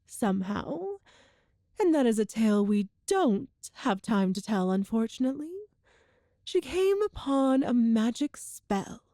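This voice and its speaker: A woman playing a cruel, seductive empress in a dramatic ASMR roleplay, with haughty, theatrical, deliberate phrasing. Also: a little high, sing-song, dark tone, no room sound, clean studio sound. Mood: storytelling, beguiling. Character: cruel imperious empress, seductive, menacing tyrant.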